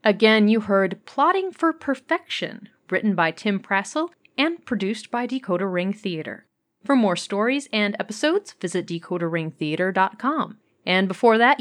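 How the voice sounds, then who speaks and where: A woman hosting an audio drama show, delivering a scripted radio sign-off and announcements. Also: medium pitch.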